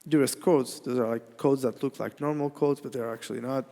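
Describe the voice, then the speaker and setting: Gravelly-voiced man with a Portuguese accent. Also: medium pitch, fairly even intonation, dark tone. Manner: halting, many restarts and fillers. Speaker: conference speaker. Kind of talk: technical conference talk. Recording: reverberant hall.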